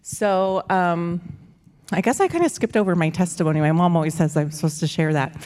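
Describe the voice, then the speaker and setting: A woman's voice: on the low side, fairly quick, darkish tone. A young woman from the United States giving a casual, rambling church talk as a missionary speaker, full of fillers and "like". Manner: chatty, rambling, with frequent fillers and likes. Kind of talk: casual church presentation with slides. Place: church hall. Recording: long church hall reverb.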